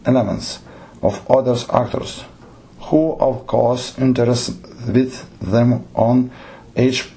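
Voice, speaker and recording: A man with a Lithuanian accent and Lithuanian as his native language, giving a lecture on theatre directing. He reads out numbered points haltingly and keeps correcting himself. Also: mid-range voice, creaky, dark tone, husky. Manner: halting, frequent self-corrections and restarts.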